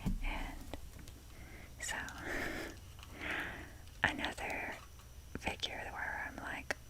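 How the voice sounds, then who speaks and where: A woman whispers a casual ASMR ramble at an unhurried, slow pace. She is self-deprecating and swears offhandedly as she drifts along. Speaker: ASMR video creator.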